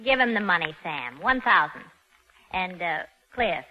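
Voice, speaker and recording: A woman's voice: fairly low pitch, lively intonation, unhurried. An actress playing a sly, sardonic woman accomplice in a swindle in a 1940s radio crime drama. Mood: cool, taunting. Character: sly, coolly taunting female accomplice in a swindle. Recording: dull, slightly noisy old radio recording.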